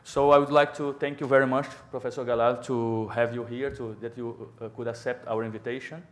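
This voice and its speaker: A man acting as moderator introduces a guest from a panel table in a large lecture hall, speaking into a table microphone. His delivery stays flat and even in pitch. He hesitates often, repeating words and filling gaps with fillers. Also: brisk. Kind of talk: formal introduction.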